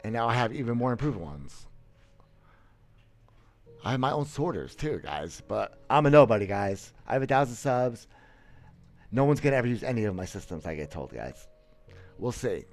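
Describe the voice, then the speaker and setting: Boastful man with a lively voice. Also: mid-range voice, moderate pace, long pauses. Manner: boastful, blunt, many restarts and stammers. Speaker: gaming streamer. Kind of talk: casual livestream commentary. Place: small room, seated in gaming chair. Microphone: headset, webcam framing.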